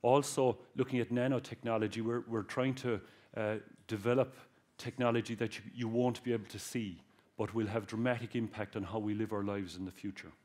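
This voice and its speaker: A man's voice: on the low side, steady tone, fairly quick, hardly any pauses, darkish tone. A man with a Cork Irish accent giving a public talk to students, joking and addressing the audience directly. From Ireland. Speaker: guest speaker, research engineer.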